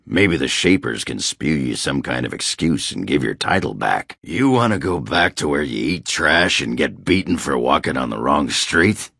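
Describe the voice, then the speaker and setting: An actor playing a gruff, crude, boozy male dwarf warrior in a video game, with a raspy growl and a coarse, blustering, grumbling manner. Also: rumbling low, very animated, normal speed, hardly any pauses, pushed and bright, no echo, clean studio sound, exaggerated voice. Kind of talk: video game character dialogue.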